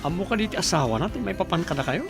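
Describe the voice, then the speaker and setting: Radio drama role: sly, scheming female confidante, acted by a woman, Ilocano accent, music clearly behind the voice. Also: fairly low pitch, expressive, rapid-fire. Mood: sly, approving. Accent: Filipino, Ilocano.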